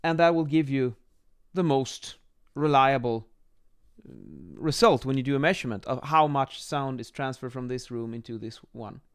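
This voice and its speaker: A man in his thirties explaining as an instructor in an informal lesson, a little halting as they think aloud, recorded on a close microphone in a small room. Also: mid-range voice, expressive, clear, resonant tone. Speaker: acoustics instructor, presenter. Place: small home office, indoors. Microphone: microphone on boom arm, close.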